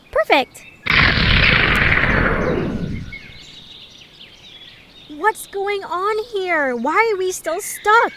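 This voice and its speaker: A woman's voice: extremely high pitch, very noisy, full character voice. A woman playing a bossy, self-assured young female leader in an audio drama, commanding and boastful. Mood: flustered.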